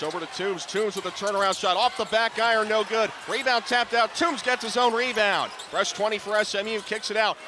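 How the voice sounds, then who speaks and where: A middle-aged man calling live basketball on radio in clipped running descriptions that can rise to excited calls. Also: very high voice, a little hiss or hum. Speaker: play-by-play basketball announcer. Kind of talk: live radio sports commentary. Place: courtside in a basketball arena.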